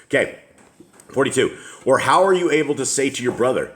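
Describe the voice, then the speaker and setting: A middle-aged man with a deep voice, leading a home Bible study, reading scripture aloud slowly and asking questions. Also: animated, slight background noise.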